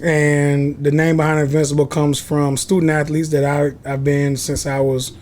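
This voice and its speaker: Higher-voiced young man with punchy remarks. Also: flat delivery, normal speed, darkish tone. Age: 20s. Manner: punchy short interjections. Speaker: podcast guest. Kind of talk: casual podcast chat.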